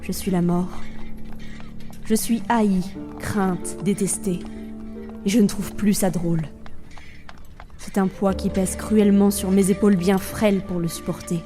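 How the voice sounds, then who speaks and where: A woman's voice: medium pitch, steady tone, a little slow, long pauses, close to an everyday voice. A woman playing Death as a weary reaper burdened by the role, in a grave, theatrical audio drama monologue. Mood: weary, brooding. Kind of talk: narrated audio fiction, dramatic first-person monologue. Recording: music behind the voice.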